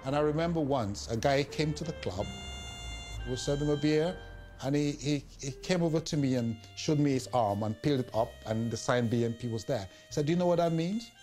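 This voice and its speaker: A man from Nigeria, a playwright and director talking in an interview, halting and restarting as he goes. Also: medium pitch, soft background music. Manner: lively, halting with restarts and stumbles.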